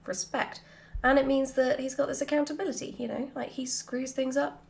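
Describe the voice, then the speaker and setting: A woman chatting casually and answering questions in an animated, giggly, self-deprecating way, as a writer hosting a Q&A. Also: high voice, moderate pace, gravelly.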